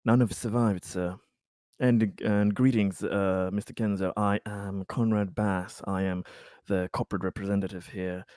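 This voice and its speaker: A man voicing a polite, evasive corporate representative in a tabletop game, formal, diplomatic and halting. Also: lowish voice, fairly even intonation, darkish tone, no echo, clean studio sound, natural voice. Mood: polite, cautious.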